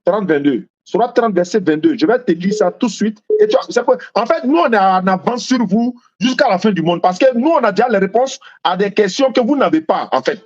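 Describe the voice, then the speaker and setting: A man whose first language is Malinke argues his faith in a heated debate. He repeats himself and talks over the other side, his high voice rising and falling widely. The sound is muffled and lo-fi. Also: lively intonation, brisk, hardly any pauses, a little mellow. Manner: insistent, repeats points, interrupts.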